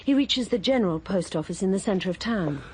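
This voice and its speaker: A woman narrating a radio drama in a measured, even storytelling manner. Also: mid-range voice, husky.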